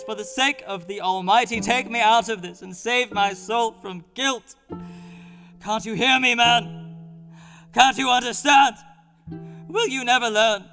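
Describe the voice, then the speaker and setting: A man's voice: high voice, bright, forceful tone, heavily acted. A man voicing a frantic male asylum inmate, begging desperately in a wailing, imploring way. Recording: echoey hall with music behind.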